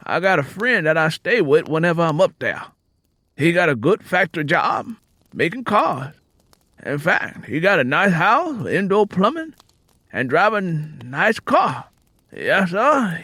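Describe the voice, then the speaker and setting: A man's voice: sits high, sharp tone, no echo, pitched above the performer's own voice, exaggerated voice. A man voicing a worldly, plain-spoken travelling musician in an audiobook, telling things in folksy Southern US dialect. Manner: folksy, drawn-out storytelling in rural dialect. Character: easygoing male travelling harmonica player, plain-spoken and worldly. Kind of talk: acted audiobook character dialogue. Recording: music playing under the voice.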